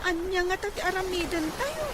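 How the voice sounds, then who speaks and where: A woman's voice: very high-pitched, animated, normal speed. A woman playing a young daughter who is ashamed of her drunk father, pleading in a radio drama. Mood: troubled. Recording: noisy radio recording.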